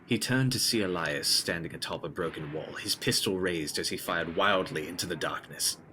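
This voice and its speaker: Very deep, forceful male gothic narrator. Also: normal speed, a little hiss or hum. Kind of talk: scripted dramatic narration of gothic horror.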